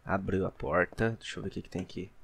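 A man's voice: deep voice, steady tone, quick pace, gravelly, slight background noise. A young man with a Brazilian accent gives casual, slangy, joking commentary as host of a gaming video. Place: small room indoors. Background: game ambience.

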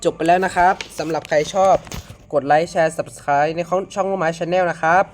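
A man from Thailand hosting a casual horror reaction video, excitable and full of exclamations. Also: a little high, moderate pace, slight background noise. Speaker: video host.

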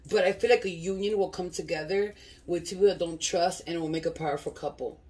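A woman's voice: on the low side, steady tone, fast talker, a little mellow, recorded from a distance. A woman giving a casual tarot reading. She sounds congested from a cold and talks loosely, swearing and often asking "you feel me?" She is recorded in a dim candlelit room. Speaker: tarot reader.

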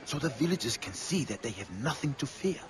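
A man's voice: mid-range voice, big pitch swings, brisk, close to an everyday voice. A male actor playing an earnest, unsettlingly eager island villager in a video game, solemn and gushing by turns. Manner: solemn, turning gushing and creepy. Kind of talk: video game character acting.

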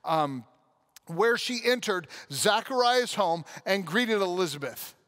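A man in his thirties preaches from a church stage, talking through a headset mic in a fairly high voice. He is animated and casual, and now and then he bursts into loud acted-out quotes. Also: takes it slowly. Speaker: pastor. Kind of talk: sermon.

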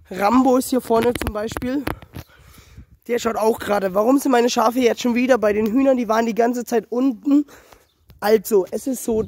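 A young man with a cold and a slightly hoarse voice, rambling and correcting himself as he talks viewers through his farmyard on a handheld phone. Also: very high voice, darkish tone, a little hiss or hum. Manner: rambling, self-correcting, addresses viewers directly. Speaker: small-animal farm vlogger. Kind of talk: casual walk-around vlog. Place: outdoors on a small farmyard. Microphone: handheld phone camera.

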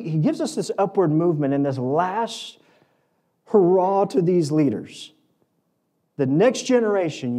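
A middle-aged American man delivers a sermon. His voice sits a little high for a man and has a soft, mellow tone. He preaches emphatically, driving points home in short, repeated phrases. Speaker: pastor preaching. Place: auditorium stage at a lectern, indoors. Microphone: lectern microphone.

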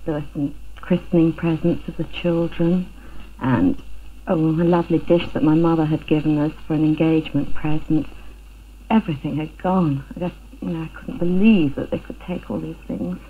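A woman's voice: low-pitched, steady tone, moderate pace. A middle-aged woman giving a personal account in an interview, recorded in a living room. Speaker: interviewee, burglary victim. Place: living room at home, indoors. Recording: tinny phone-like 1970s film sound, noisy.

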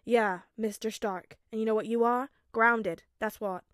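A woman's voice: on the high side, steady tone, unhurried, no room sound, clean studio sound, lightly acted. Woman playing a stern, sarcastic dad. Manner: curt, scolding. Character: stern, sarcastic, exasperated father, a grown man.

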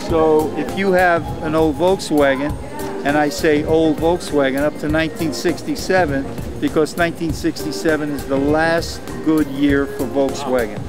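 A man's voice: fairly high pitch, fairly even intonation, normal speed. An older man chatting casually at an outdoor car show, folksy with many ums and uhs, recorded on a handheld camera. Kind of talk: casual interview. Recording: outdoor camera audio with music behind.

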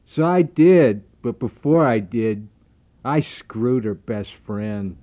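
A man's voice: mid-range voice, lively intonation, slow, mellow. An actor playing a crude, boastful regular guy in a satirical radio comedy.

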